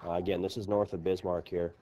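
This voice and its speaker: Low, mellow male news anchor. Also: level tone, fast talker, a little hiss or hum. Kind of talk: live unscripted flood coverage commentary.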